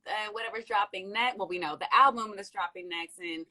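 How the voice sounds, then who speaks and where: A woman's voice: medium pitch, fairly quick, sharp tone. A young woman hosting a casual interview over a video call from a room at home, upbeat, chatty and playful.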